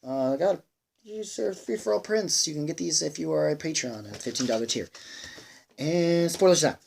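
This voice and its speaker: A man giving a casual, unscripted movie review, rambling and halting, repeating himself emphatically and sounding a bit tired. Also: on the high side, expressive, creaky, a little mellow, slight background noise. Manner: sweary, emphatic. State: tired, sighing.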